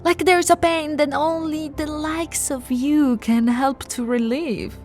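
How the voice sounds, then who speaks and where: A woman voicing a possessive, seductive vampire royal in an ASMR roleplay, teasing and often slipping into breathy whispers. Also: sits high, fairly even intonation, faint music behind. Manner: slow, teasing, breathy whispering with soft laughs.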